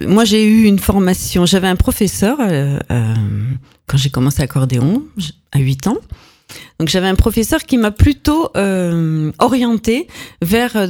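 A woman musician being interviewed on the radio, answering in a relaxed way with some hesitation. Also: medium pitch. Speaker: guest musician.

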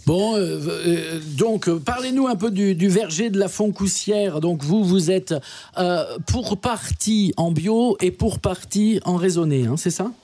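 A man's voice: high-pitched, unhurried, dark tone, no echo. A man hosting a radio interview, asking questions hesitantly with many fillers and restarts. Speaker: radio host.

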